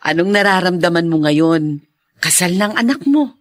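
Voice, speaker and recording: An actress playing a gossipy, frank Filipino woman friend in a radio drama, expressive and chiding. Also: fairly low pitch, normal speed, no room sound, lightly acted.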